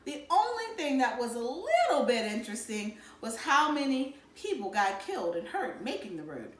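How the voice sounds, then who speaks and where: A woman reads a story aloud with expressive, lively swings of pitch. Her voice sits fairly high and rings in a roomy, echoey space. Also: normal speed. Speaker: read-aloud narrator. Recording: echoey room.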